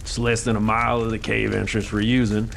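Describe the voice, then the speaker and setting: An actor playing a gruff, practical frontier guide who talks bluntly and folksily. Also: male voice, fairly low pitch, level tone, brisk, fairly bright tone, a little hiss or hum.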